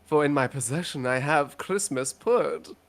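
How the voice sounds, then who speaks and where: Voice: mid-range voice, unhurried, vocal fry. A theatrical, mock-pedantic speaker mixing dramatic reading aloud with joking banter.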